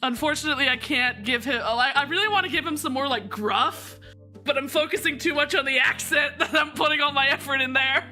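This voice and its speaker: A woman's voice: high-pitched, fairly quick, sharp tone, soft background music. A young woman streamer chatting and laughing through a story game, poking fun at her own voice work. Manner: giggly, chatty, self-mocking. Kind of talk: casual gameplay commentary.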